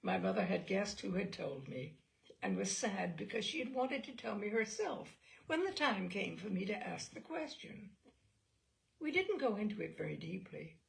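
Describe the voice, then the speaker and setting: A woman reading literary memoir aloud in a measured, theatrical storytelling manner. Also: mid-range voice, gravelly, low-quality recording. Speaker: reader of literary selections.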